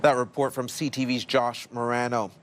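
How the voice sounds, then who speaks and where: A man's voice: medium pitch, little pitch movement, moderate pace, slight background noise. A man anchoring a television newscast with scripted, presentational delivery. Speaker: news anchor.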